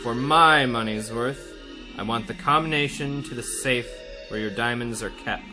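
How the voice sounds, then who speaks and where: An actor playing a vain, scheming hypnotist therapist in a radio mystery, with a deliberate, soothing yet commanding manner. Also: male voice, mid-range voice, music in the background, close to an everyday voice. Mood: commanding, sinister. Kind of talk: radio mystery drama acting.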